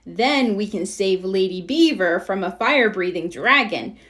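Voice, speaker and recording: A woman's voice: medium pitch, expressive, measured pace, fairly bright tone. A woman voicing an eager, careless young boy turtle from a children's storybook. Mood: bold, cheerful.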